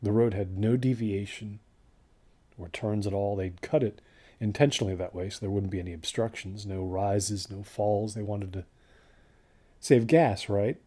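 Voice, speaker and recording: A man acting in an audio drama as a hiker recalling a monotonous desert road, halting and conversational. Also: fairly low pitch, close to an everyday voice. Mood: reflective. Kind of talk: naturalistic monologue in audio drama.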